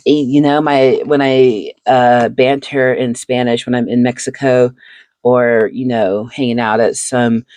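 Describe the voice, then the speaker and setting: A woman's voice: a bass voice, fairly even intonation, unhurried, darkish tone, no echo. A woman hosting a casual chat while sleeving cards, reflective and chatty, laughing often between thoughts. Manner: seated, talks while handling cards. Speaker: channel host.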